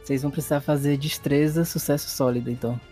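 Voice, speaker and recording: A man's voice: a little high, steady tone, fast talker, warm tone, soft background music. A man with a Brazilian accent running a tabletop horror game, moving between dramatic narration and casual refereeing. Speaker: game master.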